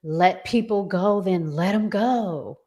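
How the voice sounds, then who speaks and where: A woman co-hosting a relationship podcast, talking conversationally with deliberate, emphatic phrasing. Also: mid-range voice, mellow. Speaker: podcast co-host.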